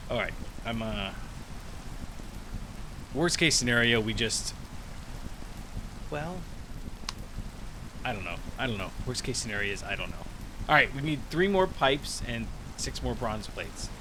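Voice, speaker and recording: A man's voice: medium pitch, big pitch swings, a little slow, pauses often, pushed and bright, slight background noise. A man giving casual, rambling commentary while playing a video game, thinking aloud with many restarts. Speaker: gaming video creator. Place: cold room with a heater.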